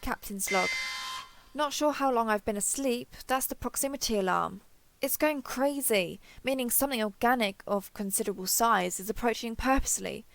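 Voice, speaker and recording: Gritty alien starship captain, played by a woman, creaky voice. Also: a little high, normal speed, slight background noise, low-quality recording. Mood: alert, defiant. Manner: wry, gritty, self-talking, mildly profane. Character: tough, cocky female alien explorer and starship captain. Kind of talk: audio drama monologue as a captain's log.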